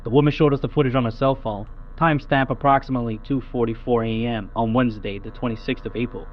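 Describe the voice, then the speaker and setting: A man's voice: mid-range voice, fairly even intonation, fast. A man playing a procedural patrol officer reporting a scene to dispatch over police radio, clipped and matter-of-fact. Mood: official, matter-of-fact. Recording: muffled police radio, humming static noise.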